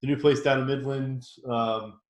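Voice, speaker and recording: In an echoey room, a man chats casually as a podcast co-host. His voice sits at a middle pitch, and he speaks haltingly with a dry, teasing manner. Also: steady tone. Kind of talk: casual chat.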